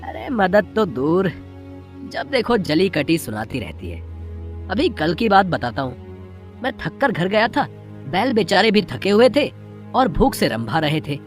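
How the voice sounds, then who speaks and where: A put-upon young farmer husband, voiced by a woman, weary and plaintive. The delivery swings widely in pitch, with soft music behind the village story drama. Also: very animated, unhurried. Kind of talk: dramatised Hindi moral story.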